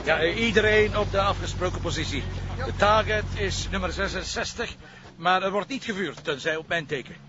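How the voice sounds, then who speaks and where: An actor playing a brisk, authoritative police commissioner with a Flemish accent, decisive and commanding. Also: male voice, high-pitched, over music, lightly acted. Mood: tense, commanding. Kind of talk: Flemish TV crime drama acting. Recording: dull, noisy lo-fi TV location sound.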